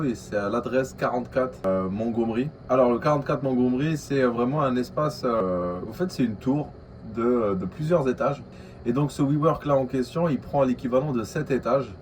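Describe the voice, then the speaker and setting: A man with an Algerian accent talks straight to his camera in a casual vlog. He rushes through his thoughts, stumbling over fillers and restarts. His voice sits at a middle pitch, with a faint hum of room noise behind him. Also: a little mellow. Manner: quick and hesitant, many fillers and restarts. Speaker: vlogger. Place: coworking space in a tower.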